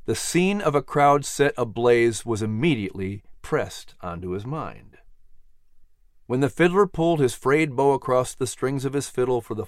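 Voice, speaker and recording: Animated male storyteller with swooping pitch. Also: no room sound, clean studio sound. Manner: dramatic, expressive delivery. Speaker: audiobook narrator. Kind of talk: animated scripted storybook narration.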